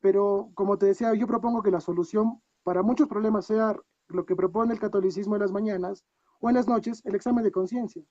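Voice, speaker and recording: A man's voice: high-pitched, steady tone, a little fast, mellow, no echo, lo-fi sound. A man with a Peruvian accent, a guest on a video call, talking reflectively and asking himself many rhetorical questions. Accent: Peruvian Spanish. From Peru. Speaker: guest coach. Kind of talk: casual interview talk.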